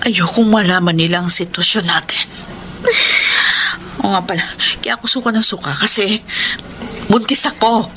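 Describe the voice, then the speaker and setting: A woman's voice: mid-range voice, normal speed, long pauses, background noise, close to an everyday voice. A woman playing a bitter, hot-tempered Filipino mother hardened by poverty in a radio drama, scolding and emotional. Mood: subdued, guarded.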